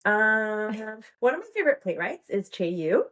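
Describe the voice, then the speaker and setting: Thirtysomething woman rambling n giggling thru a podcast chat, mid-pitch, lots of ums. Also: very animated, moderate pace, fairly bright tone. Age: 30s. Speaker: guest. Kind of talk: casual interview chat.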